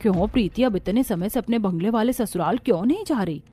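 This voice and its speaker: A woman's voice: mid-range voice, fairly quick, warm tone, breathy edge, dry recording, lightly acted. A woman voicing a gossipy village neighbour with a mocking, needling delivery.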